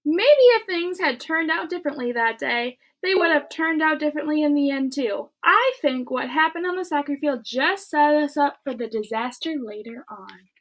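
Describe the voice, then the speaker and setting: A woman reading a children's book aloud in an expressive way, with casual chat to her listeners. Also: sits high, moderate pace, few pauses, rough recording.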